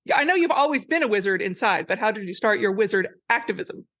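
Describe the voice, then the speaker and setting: A woman's voice: mid-range voice, lively intonation, fast talker, a bit bright. A woman hosting a casual interview, upbeat and encouraging. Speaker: podcast host, interviewer.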